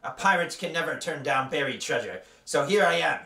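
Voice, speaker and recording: A swaggering pirate captain, as a man puts him on, all bluster and threats of the plank. His voice sits fairly high and bright, with hard edges. The room echoes around it, picked up from some way off the mic. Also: lively intonation, a little fast, recorded from a distance. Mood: threatening. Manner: growls "arr", threatening, bragging. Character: boastful gruff male pirate captain.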